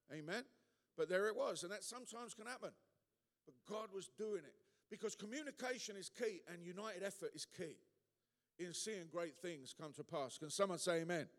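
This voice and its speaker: A man's voice: sits high, a bit bright. A man preaching a sermon as a pastor, emphatic and colloquial, using rhetorical questions, recorded in a reverberant hall.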